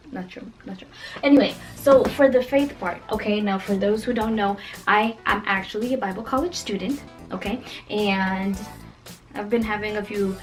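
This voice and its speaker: Kenyan woman late 20s doing a chatty vlog, playful n emphatic, mid pitch, some music under her. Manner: animated, playful, emphatic, conversational. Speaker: vlogger.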